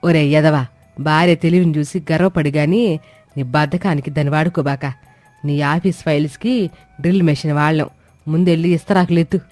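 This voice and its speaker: A bossy, money-proud older mother-in-law from a village tale, acted by a woman. She scolds and boasts in homely speech, with a soft dark tone over background music. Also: low voice, fairly even intonation, normal speed, lightly acted. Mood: scolding. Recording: echoey sound with music bed.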